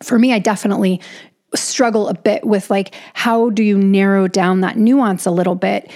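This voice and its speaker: A woman's voice: medium pitch, steady tone, normal speed, darkish tone. A woman hosting a podcast solo, talking casually and conversationally as if thinking aloud. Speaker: podcast host and author.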